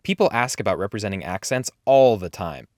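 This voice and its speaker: A man's voice: on the low side, animated, brisk. A man hosting a scripted comedic tutorial, with a theatrical, self-mocking manner. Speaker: host, comic creator. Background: music.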